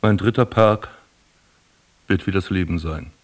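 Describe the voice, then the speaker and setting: An actor playing a proud, disillusioned prince in a literary radio drama, measured, dry and reproachful. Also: male voice, low voice, no room sound. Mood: bitter, reproachful. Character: proud, sardonic, disillusioned prince, likened to a tree.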